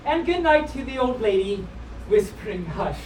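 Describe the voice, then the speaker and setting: A woman's voice: on the high side, sing-song, moderate pace. A woman reading storybooks aloud live, with playful, wry asides as she breaks off to comment on the text. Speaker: storyteller reading picture books to a live group. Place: live session at a convention. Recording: roomy, distant live event recording with clear noise.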